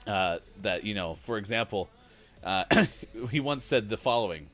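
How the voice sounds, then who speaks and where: A man's voice: fairly low pitch, normal speed, fairly bright tone, faint music behind. A man co-hosting a morning show, bantering casually in a joking, rambling, mock-serious manner.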